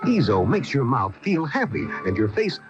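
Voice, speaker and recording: A man reading a radio commercial as an emphatic sales pitch. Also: sing-song, normal speed. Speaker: commercial announcer. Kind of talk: radio ad read. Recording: dull lo-fi 1980 radio, music behind.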